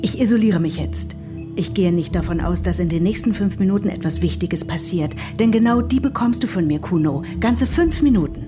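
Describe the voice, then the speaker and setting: An actress playing an impatient, condescending mother in a radio play, brisk in manner. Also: on the low side, lively intonation, close to an everyday voice. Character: impatient, isolated mother in a machine-run future. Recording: music behind the voice. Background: music.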